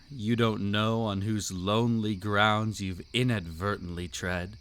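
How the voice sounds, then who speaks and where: A man's voice: medium pitch, fairly bright tone. A man voicing a suave, predatory old vampire count in a scripted roleplay audio, with an aristocratic, silky, teasing and theatrical delivery. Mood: condescending, seductive.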